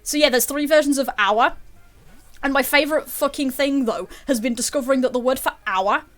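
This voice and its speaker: A woman's voice: high voice, a little fast, fairly bright tone, soft background music. A young woman chatting casually while streaming a game, swearing freely and laughing often. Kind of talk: casual chat while gaming.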